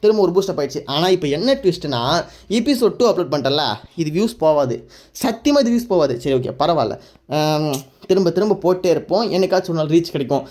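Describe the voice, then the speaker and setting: A man's voice: fairly high pitch, animated, quick pace, a little mellow. A man recapping a story casually and informally, rambling along and mixing in English words. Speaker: YouTube anime recap narrator.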